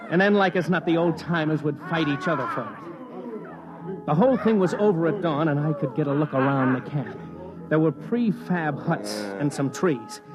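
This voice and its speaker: Dull old radio recording, male actor as brash reporter, fairly high male voice, wry storytelling. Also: expressive, normal speed, music in the background, close to an everyday voice. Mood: wry narrating. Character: brash, wisecracking male news reporter narrating his adventure. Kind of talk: old-time radio drama narration.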